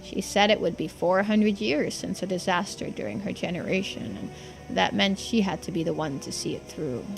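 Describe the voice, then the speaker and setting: Woman voicing a creaky, folksy islander storyteller. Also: lowish voice, normal speed, faint music behind, poor audio. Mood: earnest. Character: folksy, earnest islander recounting old island secrets. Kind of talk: voiced video game dialogue playthrough.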